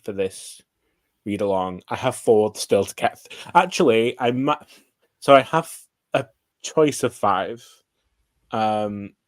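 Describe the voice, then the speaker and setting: A man's voice: lowish voice, animated, slow pace, long pauses, dry recording. A man chatting casually about books as a livestream guest, meandering and hesitant, often saying "like". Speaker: guest co-streamer.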